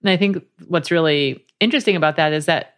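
A woman hosting a podcast interview, asking questions and chatting in a steady, conversational way. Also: low-pitched, fast, clean tone. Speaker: podcast host.

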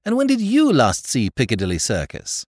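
A man voicing a breezy gentleman with an airy, casual way of talking. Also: mid-range voice, wildly swooping pitch, normal speed, no echo, studio quality, pitched above the performer's own voice. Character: breezy upper-class English male aristocrat.

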